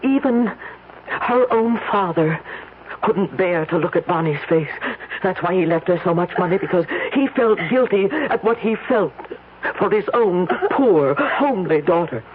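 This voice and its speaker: A woman's voice: on the low side, expressive, measured pace, phone-quality sound. A woman playing a domineering, bitter middle-aged mother in an old radio drama, with a cutting, theatrical delivery. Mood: scornful. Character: domineering, bitter wealthy mother of a young bride. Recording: noisy, thin old radio recording.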